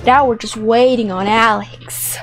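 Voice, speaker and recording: A young woman giving excited, playful gameplay commentary, animated and exclamatory, often repeating words for effect. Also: fairly high pitch, measured pace, poor audio. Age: young adult. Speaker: gaming video host. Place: small indoor room set up for streaming. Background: background music.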